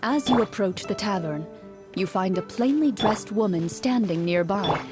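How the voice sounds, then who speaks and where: An actress playing a composed game master who narrates a tabletop adventure in a measured storytelling way. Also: female voice, mid-range voice, animated, music in the background, lightly acted. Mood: measured, evocative. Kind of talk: scripted narration.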